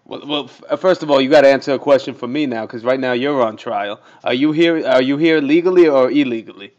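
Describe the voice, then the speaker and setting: A man's voice: mid-range voice, quick pace, darkish tone. A man making a prank phone call, pushy and fumbling, stalling with fillers and restarts. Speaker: prank caller. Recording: muffled recorded phone call.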